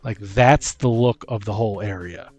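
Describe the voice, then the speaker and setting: A man casually brainstorming fantasy lore as a podcast host, thinking aloud in halting, repeated phrases. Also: on the low side, darkish tone, soft background music.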